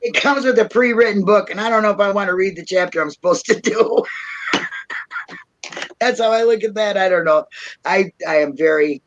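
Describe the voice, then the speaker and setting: A woman's voice: mid-range voice, moderate pace, lo-fi sound, a little hiss or hum. A woman from Minnesota with a Minnesotan accent, chatting casually as a livestream guest and laughing often. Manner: laughs often, jokey and folksy. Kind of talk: casual chat.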